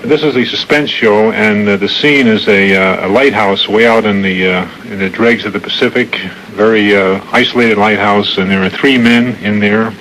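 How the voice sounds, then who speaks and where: A man co-hosting a radio interview, chatting informally and introducing material in a halting, hesitant way. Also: on the low side, a bit bright. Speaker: radio co-host. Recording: slightly noisy vintage radio tape.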